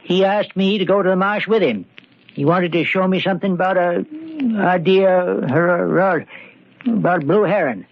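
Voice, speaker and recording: A man's voice: high-pitched, moderate pace, creaky. An actor playing a stubborn, doubting country handyman with a rural American accent and a folksy, hesitant but dogged drawl, in old radio drama style. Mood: puzzled, dogged. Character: stubborn, doubting, slow-reasoning rustic handyman. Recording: dull, band-limited old radio recording.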